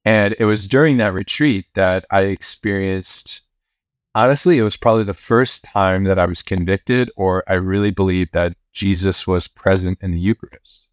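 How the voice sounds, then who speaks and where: Young male priest, deep voice, casual storytelling, lots of "um", dry close mic. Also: normal speed, clean tone, no echo. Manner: relaxed, many fillers and restarts, occasional laughs. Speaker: priest and university chaplain.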